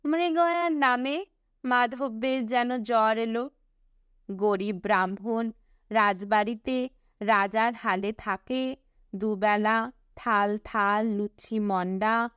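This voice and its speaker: A woman reading a storybook as an audiobook narrator, with a measured, deliberate storytelling delivery. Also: a little high, steady tone, a bit bright, clear, resonant tone, no echo, studio quality.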